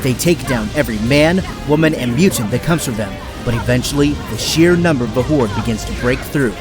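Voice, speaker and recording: Male storyteller, mid pitch, dramatic action narration, very loud orchestral music behind. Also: lively intonation, fairly quick.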